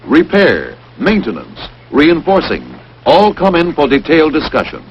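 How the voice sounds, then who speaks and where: A man's voice: a little high, huge pitch swings, normal speed. A man narrating a wartime training film in an emphatic, punchy 1940s newsreel-announcer style. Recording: dull, hissy 1940s film soundtrack.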